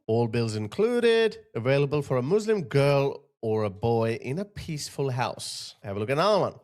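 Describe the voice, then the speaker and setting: A man from Pakistan giving emphatic, sarcastic opinion commentary. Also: darkish tone. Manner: emphatic, sarcastic, big pitch swings.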